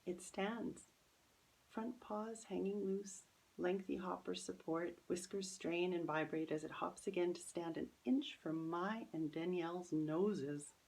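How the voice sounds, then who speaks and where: A woman reading her own children's story as expressive, careful, dramatic narration. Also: lowish voice, unhurried, gravelly, poor audio.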